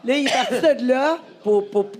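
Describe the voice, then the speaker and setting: A woman with a Québécois accent hosting a casual chat, telling animated stories and slipping into English phrases. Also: sits high, moderate pace, crowd noise. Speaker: show host.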